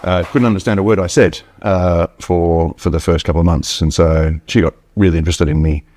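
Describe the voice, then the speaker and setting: A middle-aged man with an Australian accent softened by Afrikaans and southern British touches, his voice sitting very low. He talks loosely, full of ums and false starts, and the recording is close and dry. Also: steady tone, brisk, dry recording. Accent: Australian, with slight Afrikaans and southern British touches. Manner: rambling, many ums and restarts. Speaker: wine importer guest. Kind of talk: casual interview chat. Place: hotel room.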